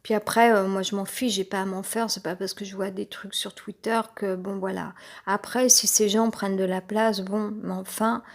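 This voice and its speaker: A woman's voice: mid-range voice, fairly even intonation. A woman with a head cold talks on her own, her voice a little congested. She rambles, stops often with fillers and restarts her sentences. The tone is personal and unscripted. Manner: halting, many fillers and restarts. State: has a cold.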